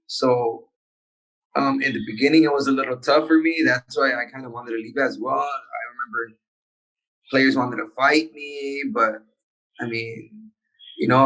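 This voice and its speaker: A young man, a soccer player born in the United States, talking in a relaxed and halting way in a casual interview. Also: medium pitch, poor audio. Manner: laid-back, halting, with many restarts. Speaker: professional soccer player, guest.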